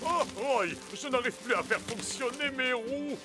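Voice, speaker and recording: A man's voice: very high voice, measured pace. A man voicing an anxious, easily frightened talking vehicle in a children's cartoon dub, exclaiming dramatically. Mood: panicked. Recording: loud music under the voice.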